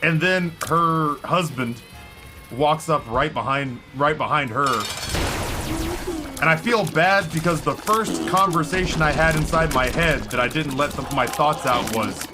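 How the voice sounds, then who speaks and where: A middle-aged man chats away over his own game stream, his voice sitting a little higher than most men's. He rambles and pokes fun at himself. Game music plays clearly behind him the whole time. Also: a little slow. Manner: rambling, self-deprecating, talks nonstop. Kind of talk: casual chat while playing a video game.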